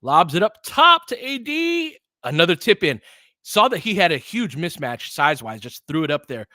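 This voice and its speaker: A man's voice: a little high, sing-song, moderate pace, sharp tone, no room sound. Informal man calling basketball, close dry sound. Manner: partisan, urging on the home team. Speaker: play-by-play commentator. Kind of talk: informal live basketball commentary.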